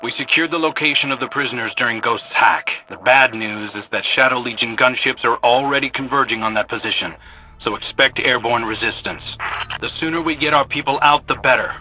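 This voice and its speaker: A man's voice: mid-range voice, fairly quick, pushed and bright, a little hiss or hum, rough recording. A man playing a no-nonsense military operator in a video game, giving clipped, businesslike mission updates. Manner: clipped, businesslike, plain-spoken. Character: no-nonsense male military pilot and tactical operator. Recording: faint music behind the voice.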